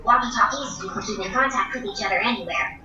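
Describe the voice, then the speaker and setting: A woman playing a controlling, coaxing female android in a film, smooth-talking and condescending. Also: medium pitch, fast, dull audio, slight background noise. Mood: persuasive. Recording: echoey, distant film sound under loud music.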